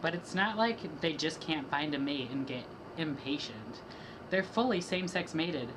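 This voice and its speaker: A woman's voice: low-pitched, expressive, moderate pace, noisy background. A young woman doing a dramatic reading of rap lyrics as a student project, trading rhythmic lines with a partner. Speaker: student reader.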